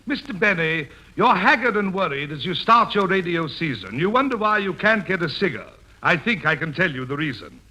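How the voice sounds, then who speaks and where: An actor playing a pompous, hammy poet who declaims grandly in a 1940s radio comedy. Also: male voice, sits high, big pitch swings, fairly quick, few pauses, bright, forceful tone, full character voice. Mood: declaiming. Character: pompous hammy poet reciting corny verse. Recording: noisy 1940s radio broadcast.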